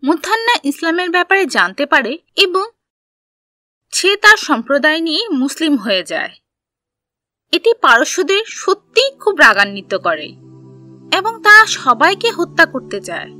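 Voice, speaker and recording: A woman narrating a scripted history story for children, telling it in simple, deliberate phrases. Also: high-pitched, very animated, bright, forceful tone, dry recording, clean studio sound.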